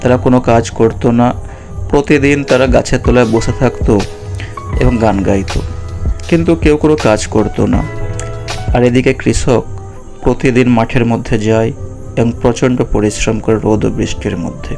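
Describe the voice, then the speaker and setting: A man's voice: mid-range voice, fairly even intonation, moderate pace, darkish tone, faint music behind. A man narrating a children's cartoon story as a storyteller.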